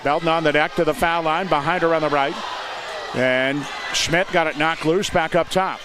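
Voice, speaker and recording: An American man doing live play-by-play commentary of high school basketball in clipped, descriptive phrases. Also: steady tone, moderate pace. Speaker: play-by-play announcer. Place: indoor high school gymnasium. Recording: noisy gym with loud crowd. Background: crowd noise and bouncing basketballs.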